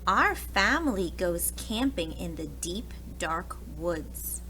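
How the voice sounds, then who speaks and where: A woman's voice: on the low side, big pitch swings, takes it slowly, sharp tone, low-quality recording. An American woman, a preschool teacher, reads a picture book aloud expressively, addressing young children with questions and asides. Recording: clear background noise.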